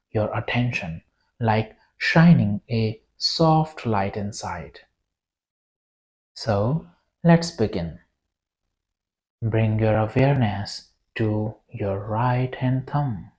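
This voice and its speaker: Very slow, mellow meditation guide. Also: medium pitch, expressive, highs cut off. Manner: slow, soothing, deliberate with long pauses. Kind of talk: guided yoga nidra meditation.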